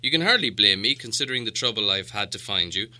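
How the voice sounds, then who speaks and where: A man's voice: fairly low pitch, animated, fast, bright, forceful tone. A man voicing a stern, impatient old wizard in a storybook, speaking emphatically and with grim self-assurance. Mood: self-satisfied. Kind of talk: storybook character voice.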